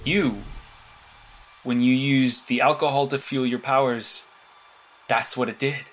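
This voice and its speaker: A man's voice: mid-range voice, fairly even intonation, unhurried, soft background music, natural voice. A man playing a blunt, secretive vigilante strategist in an audio drama, clipped and matter-of-fact. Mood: measured.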